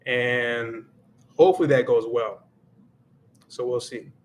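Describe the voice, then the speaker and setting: A man's voice: medium pitch, takes it slowly. A man hosting a casual livestream, thinking aloud in a reflective way and slipping into Spanish now and then. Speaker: livestream host and Spanish learner.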